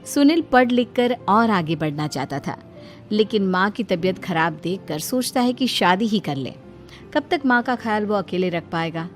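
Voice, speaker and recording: A woman's voice: mid-range voice, expressive, moderate pace, soft background music. A woman storyteller delivering scripted narration for a cartoon family moral tale.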